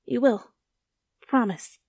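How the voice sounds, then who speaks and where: A woman voicing a tender, grieving young character who rarely speaks, breathy and fragile, in an ASMR fan fiction. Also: fairly high pitch, takes it slowly, a little mellow, dry recording, studio quality, voice pushed up, full character voice. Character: grieving, usually mute young listener, tender and wistful.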